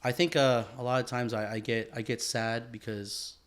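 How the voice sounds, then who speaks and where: A man co-hosting a casual podcast chat, laid-back and quick to agree with lots of fillers. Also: mid-range voice, fairly even intonation. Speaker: podcast co-host.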